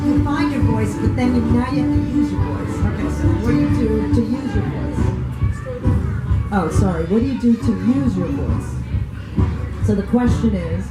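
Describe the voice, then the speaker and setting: A woman's voice: mid-range voice, reverberant, rough recording. A woman from New York City, a singer-songwriter, leading an audience discussion and asking the room questions, with many restarts. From United States, grew up in New York City. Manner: conversational, restarts often, poses questions to the room. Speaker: workshop facilitator and singer-songwriter. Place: indoor venue with an audience.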